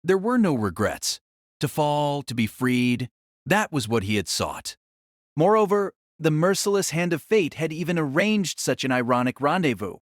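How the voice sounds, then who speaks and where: A man's voice: a little high, sing-song, moderate pace, no echo, studio quality. A man narrating a novel as a dramatic audio story, in a solemn, theatrical manner.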